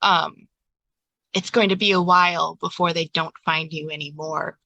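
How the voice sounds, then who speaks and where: Woman playing an earnest, cryptic ringmaster, bright voice. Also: deep voice, fairly even intonation, moderate pace, gravelly, dry recording, lo-fi sound, voice pushed down, full character voice. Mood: grave, reassuring.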